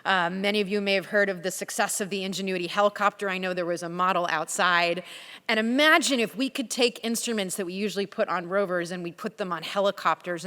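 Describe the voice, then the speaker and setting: A woman's voice: medium pitch, a bit bright. Enthusiastic woman speaking in a hall. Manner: enthusiastic, earnest. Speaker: panel speaker, Mars rover scientist. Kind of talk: conference panel talk with slides. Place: conference hall.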